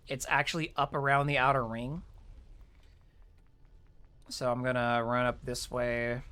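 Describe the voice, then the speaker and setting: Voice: mid-range voice, a bit bright. Chatty gamer giving a casual walkthrough. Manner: chatty, informal, mild swearing; thinks aloud, explaining as they play. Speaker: streamer playing an online game. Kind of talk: casual game walkthrough commentary.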